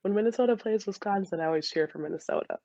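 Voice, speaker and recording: Female, 20s, American accent. Chatty, giggly podcast guest, casual delivery, mid pitch, slightly muffled lo-fi sound. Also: mellow, no room sound. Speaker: podcast guest, dental student and soccer player. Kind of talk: casual conversational storytelling.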